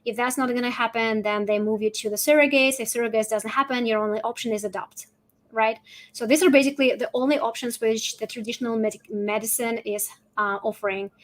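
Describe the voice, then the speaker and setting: High-voiced Russian woman explaining. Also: fairly even intonation, brisk. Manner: often ends phrases with a checking "right?". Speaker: guest, holistic fertility coach. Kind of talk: conversational interview explanation.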